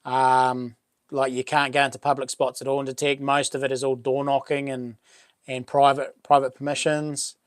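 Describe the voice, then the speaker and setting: A middle-aged man with a New Zealand accent and a boomy voice, hosting a relaxed livestream chat, rambling with many ums and restarts as he reads out viewer comments. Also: moderate pace, clean tone, dry recording, studio quality. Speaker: livestream host. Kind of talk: casual live chat reading comments.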